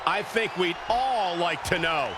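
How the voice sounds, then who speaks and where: An actor playing an upbeat, polished lead wrestling announcer calling play-by-play in a video game. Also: male voice, high-pitched, lively intonation, a little slow, over a noisy crowd, very noisy. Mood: puzzled.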